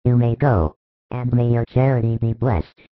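A man voicing a calm, resolute male teacher who speaks in a measured, firm way. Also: mid-range voice, no echo, phone-quality sound, natural voice. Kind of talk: audiobook reading.

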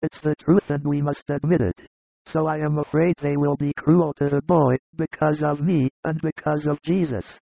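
A man doing a gravelly, fatherly disciple. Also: fairly high pitch, level tone, moderate pace, dry recording, muffled recording, voice pushed up. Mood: pleading. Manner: earnest, plain-spoken, fatherly. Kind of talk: audiobook reading of a religious narrative.

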